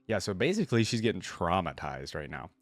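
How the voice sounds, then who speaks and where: A man's voice: low voice, very animated, a little fast, no echo. A man hosting a reaction video, reading fantasy lore aloud and adding casual commentary.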